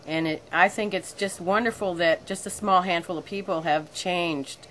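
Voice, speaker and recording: A woman's voice: lowish voice, moderate pace, fairly bright tone. A middle-aged woman giving a casual, unscripted visitor testimonial outdoors on a camera mic. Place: outdoors beside a brick building. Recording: noisy outdoor camcorder sound.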